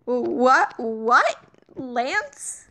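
A woman voicing flustered, lovestruck young male cartoon space pilots in an amateur comic dub, with an emotional, halting delivery. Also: high voice, big pitch swings, noisy background, exaggerated voice. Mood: exasperated. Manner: stammering, emotional.

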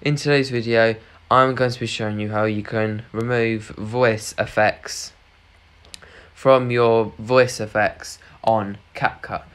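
A man's voice: fairly low pitch, steady tone, takes it slowly, fairly bright tone, smooth. A man hosting a casual how-to tutorial, explaining each step in a repetitive, instructional way as a voice-over. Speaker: tutorial host. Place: voice-over on a screen recording.

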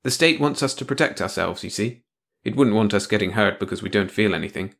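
A man's voice: lowish voice, expressive, brisk, gravelly, fairly bright tone, full character voice. A man voicing a weary, cynical male official who speaks with dry bitterness. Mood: bitter.

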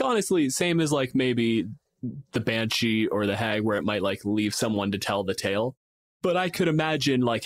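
A man co-hosting a casual tabletop gaming discussion, dramatizing his examples with acted asides. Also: medium pitch. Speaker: podcast co-host and gamemaster.

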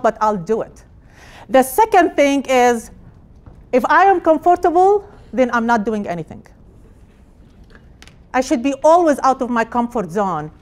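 A middle-aged woman from Palestine, a hospital director, giving an energetic personal talk in a conference room with phrasing. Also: high voice. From Jerusalem, Palestine. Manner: energetic, gesturing while speaking, non-native phrasing. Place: conference room with projected slides.

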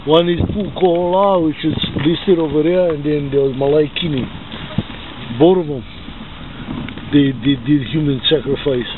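A man with a Hawaiian local accent talks casually on a ride, like an informal tour guide. His voice is fairly high, and the recording is thin and band-limited with clear vehicle noise. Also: steady tone, moderate pace. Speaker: vlogger. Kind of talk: casual chat while touring by car. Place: inside a car outdoors by the shore. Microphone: handheld camera in the car. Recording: tinny, band-limited phone video with loud car noise.

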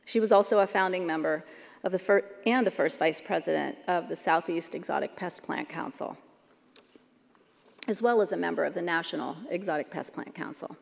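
A woman's voice: lowish voice, normal speed, vocal fry. A middle-aged woman at a podium, steadily reading a prepared award introduction. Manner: reads prepared text steadily. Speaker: award presenter. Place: large banquet hall stage. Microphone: podium microphone. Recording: long hall reverb.

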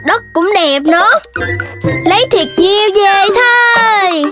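An actor voicing an excitable, playful and whiny child animal in a children's cartoon, with exaggerated, drawn-out delivery. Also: very high-pitched. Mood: excited. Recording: loud children's music behind.

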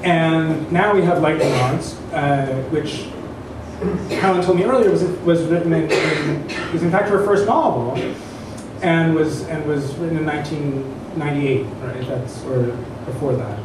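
A man in his thirties or forties introducing a literary reading informally, halting and digressive as he searches for words. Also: fairly high pitch. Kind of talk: informal spoken introduction before an audience. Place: indoor event room, at a standing microphone. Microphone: stand microphone, picked up from a distance. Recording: echoey room, distant mic, clear background noise.

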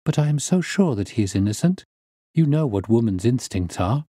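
A man's voice: mid-range voice, sing-song, normal speed, mellow, husky, dry recording, studio quality. A man voicing an earnest, polite young English lady in an audiobook, imploring on behalf of her cousin. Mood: pleading. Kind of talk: audiobook character reading.

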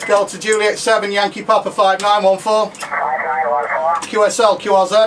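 A man's voice: sits high, monotone, normal speed, fairly bright tone. A man working as an amateur radio contest operator, reeling off procedural callsign exchanges in phonetic alphabet. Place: small dim indoor radio room. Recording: very noisy radio shack, distant mic.

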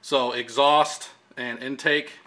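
A man's voice: mid-range voice, fairly even intonation, takes it slowly, sharp tone. A man in his thirties casually explaining engine timing while working in a workshop, with lots of fillers. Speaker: engine shop technician. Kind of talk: hands-on how-to demonstration. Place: engine workshop with pegboard wall, indoors.

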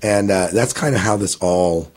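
A man's voice: lowish voice, normal speed, a little mellow. A man in his thirties, a podcast guest, answering interview questions in a casual, rambling way with many fillers and restarts.

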